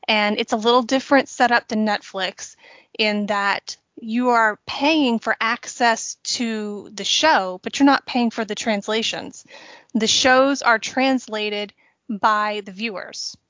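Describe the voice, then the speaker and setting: A woman with a fairly high voice talks through a topic on a podcast, steady and explanatory. The sound is dry and close but dull and lo-fi. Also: takes it slowly, dry recording. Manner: explanatory, steady, with small laughs. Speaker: podcast co-host. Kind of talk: casual chat.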